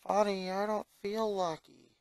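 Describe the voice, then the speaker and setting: A man's voice: sits high, level tone, measured pace, no room sound, voice pushed up. A man voicing a put-upon young boy in a cartoon fan story, grumbling and reluctant. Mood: weary.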